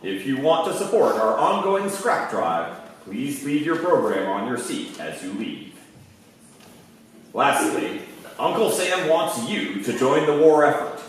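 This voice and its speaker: A man's voice: medium pitch, sing-song, unhurried, distant mic. A man giving scripted announcements in the style of a 1940s radio show. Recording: echoey stage from afar, faint noise.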